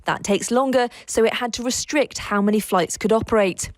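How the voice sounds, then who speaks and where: A woman correspondent giving a brisk, clear scripted news report. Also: fairly high pitch, no room sound. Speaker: aviation correspondent.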